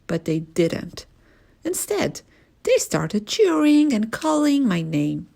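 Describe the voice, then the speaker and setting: A woman reading a first-person children's story aloud in an expressive, animated storytelling manner. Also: fairly high pitch, takes it slowly, vocal fry.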